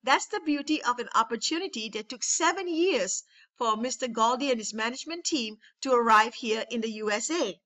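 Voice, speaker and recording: A woman's voice: high-pitched, sharp tone. A woman leading a network marketing training over a webinar, talking enthusiastically and repeating phrases to rally her listeners. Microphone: webinar call.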